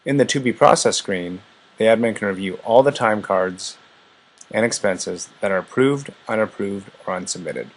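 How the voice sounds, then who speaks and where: Low-pitched, slightly husky male demo narrator. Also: rough recording. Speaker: software demo presenter. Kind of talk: scripted product demo narration.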